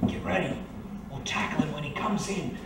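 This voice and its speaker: A man's voice: on the high side, moderate pace, gravelly. A man playing a streetwise, take-charge private eye in a detective audio drama, speaking in clipped phrases. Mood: hushed, wary. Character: decisive male private eye, reformed thief, streetwise. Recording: echoey, distant, noisy recording.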